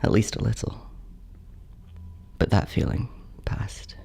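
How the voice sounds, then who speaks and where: A man playing a bitter, neglected older sibling in a horror monologue, hushed and confessional, often slipping into whispers. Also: fairly low pitch, expressive, slow, gravelly, full character voice. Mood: hurt, resigned.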